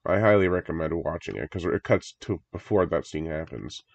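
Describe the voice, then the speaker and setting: Congested low-voiced man reacting to a trailer. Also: animated, a little fast, gravelly, dry recording. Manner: enthusiastic, rambling, chuckles often. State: congested from a recent cold. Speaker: horror movie reviewer. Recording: dry, dull lo-fi home recording.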